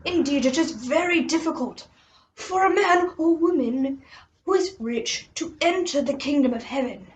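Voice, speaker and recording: Woman doing a grand, slow Jesus voice. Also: sits high, close to an everyday voice. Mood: solemn teaching. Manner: slow, drawn-out, theatrical, with hushed breathy words. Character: grand, solemn Jesus teaching his disciples. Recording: slightly dull recording with faint noise.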